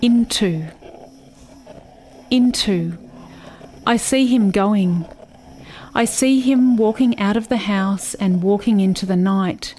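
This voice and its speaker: Slow, haunted settler girl played by a woman. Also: mid-range voice, warm tone, slight background noise, natural voice, poor audio. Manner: slow, incantatory, repetitive. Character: haunted, dreamy settler girl mourning her lost brother. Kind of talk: literary radio play monologue.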